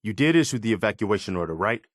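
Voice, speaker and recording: Earnest, low-voiced militia officer. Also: male voice, expressive, no echo, clean studio sound. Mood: earnest, sober. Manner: earnest, measured, conscientious. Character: earnest, weary militia officer in post-apocalyptic wasteland, loyal and idealistic. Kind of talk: video game character dialogue.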